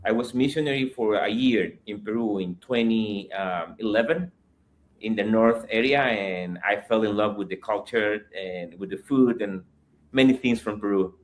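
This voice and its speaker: A fairly low-voiced young man from El Salvador who speaks English as a second language after Spanish. He answers interview questions in a careful, measured way, pausing often to find his words. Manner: careful, pausing with frequent fillers. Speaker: missionary guest.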